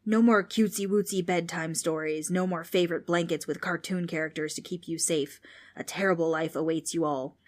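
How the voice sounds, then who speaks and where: Old ghost, irritable and grandly spooky, read by a woman narrator; low-set, mock-menacing storybook delivery. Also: fairly even intonation, brisk, darkish tone, lightly acted. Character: grumpy old male ghost, pompous and theatrical.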